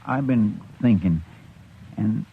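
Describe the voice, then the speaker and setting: A humble, earnest frontier deputy from an old radio western. He is gravelly and hesitant, deferential in a folksy way, heard on a dull recording with faint noise. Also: fairly low pitch, expressive, slow pace, exaggerated voice. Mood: sheepish, downcast. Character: humble, loyal, injured male deputy, earnest and apologetic. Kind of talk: 1950s radio western acting.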